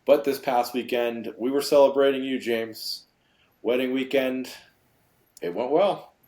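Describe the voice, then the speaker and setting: A man's voice: mid-range voice, measured pace, darkish tone. A man hosting a sports podcast in an upbeat, easygoing way with many fillers. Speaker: podcast host. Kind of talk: casual sports chat.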